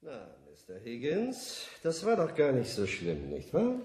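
An actor in a German radio play playing a research scientist who questions formally and politely. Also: male voice, medium pitch, huge pitch swings, measured pace, a little mellow, husky, heavily acted. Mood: courteous. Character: male research scientist, probing, polite and businesslike.

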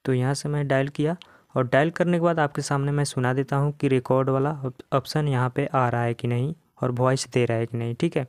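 An Indian man hosts a casual how-to video for phone users. His pitch sits in the middle of the male range, and the sound is dry and close. He speaks straight to viewers, instructing them step by step. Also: fairly even intonation, a little fast, darkish tone, clear, resonant tone, no echo. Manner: addresses viewers directly, instructional. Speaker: tech tutorial host.

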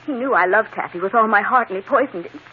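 An actress playing a frightened, fretful young woman in an old-time radio drama, halting and melodramatic. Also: mid-range voice, close to an everyday voice. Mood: frightened, pleading. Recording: tinny, noisy old radio broadcast.

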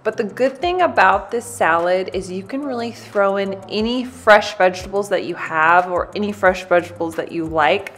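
A woman's voice: medium pitch, normal speed, hardly any pauses, fairly bright tone, a little hiss or hum. Bubbly woman walking through a recipe. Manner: bubbly, chatty, addresses viewers as "you guys". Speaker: cooking channel host. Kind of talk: recipe demonstration, voice-over and to camera. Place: home kitchen, indoors.

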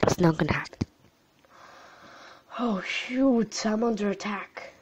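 A young woman with a tired voice commenting on a game she is playing late at night at home, gasping and jumping between alarm and hushed whispers. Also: mid-range voice, expressive, slow pace, noisy background. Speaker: gaming channel host. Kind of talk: live gameplay commentary.

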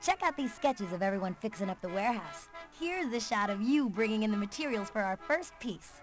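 A woman's voice: medium pitch, expressive, brisk, music in the background, close to an everyday voice. A young woman with an American accent playing an upbeat skater girl and comic artist in a video game cutscene, perky and slangy.

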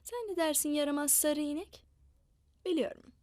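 A woman telling a story to young children in a playful, expressive, repetitive way. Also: high-pitched, no echo, studio quality. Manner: slow, repetitive, expressive delivery with animal mooing.

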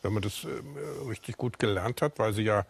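A man interviewing a writer, asking long questions with frequent fillers and pauses. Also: fairly low pitch, creaky, breathy edge. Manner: halting, frequent fillers, many brief acknowledgements.

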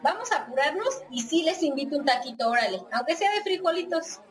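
A bossy but friendly Mexican organizer, played by a woman in a radio soap. Her voice sits high. She talks colloquially and directs everyone. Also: big pitch swings, brisk, crowd noise. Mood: joking. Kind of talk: radio soap opera acting.

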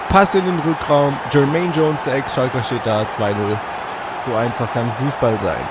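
A man with a slight cold hosting let's play commentary on a football video game from a hot room at home, getting excitable and shouting at goals. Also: medium pitch, normal speed, a loud crowd. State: a bit congested with a cold. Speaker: gaming channel host. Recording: audible fan noise.

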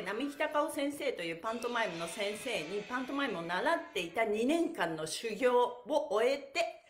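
A middle-aged woman leading a live-streamed stretch class, chanting counts cheerfully and chatting with viewers. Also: on the high side. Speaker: stretch instructor. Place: small indoor studio room. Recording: echoey room.

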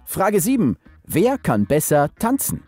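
A man's voice: a little high, wildly swooping pitch, unhurried, warm tone, no echo, faint music behind. A man off camera who reads out numbered quiz questions in an announcing tone.

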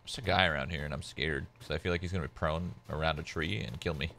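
A man's voice: extremely low pitch, fast, vocal fry, pushed and bright, a little hiss or hum. A young man whose first language is Polish, chatting casually as a game streamer at home, laid-back and rambling with lots of "like" fillers. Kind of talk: casual chat while gaming.

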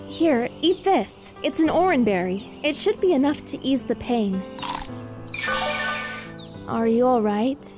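Actress as female fox team leader, high voice, unhurried, commanding but kind, music behind, cartoon dub. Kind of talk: comic dub voice acting.

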